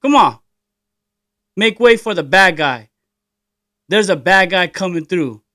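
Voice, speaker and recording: A man voicing a swaggering, defiant gangster, loud and sneering, on a podcast. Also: high voice, lively intonation, slow pace, dry recording, studio quality, pitched above the performer's own voice, full character voice. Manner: loud, sneering, punchy delivery. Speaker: podcast co-host.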